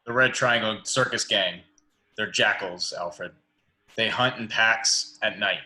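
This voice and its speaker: A man playing a brooding, guarded vigilante hero at a script read, terse and measured. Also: on the low side, bright, forceful tone, heavily acted. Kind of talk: table read of a film script.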